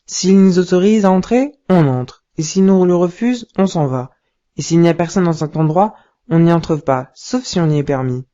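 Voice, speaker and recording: Cartoon dad, played by a woman: wise, patient, devout, measured teacher's delivery, lowish mellow tone. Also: muffled recording, lightly acted. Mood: calm, instructive. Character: calm, wise, pious father advising his young son. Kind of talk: didactic cartoon dialogue.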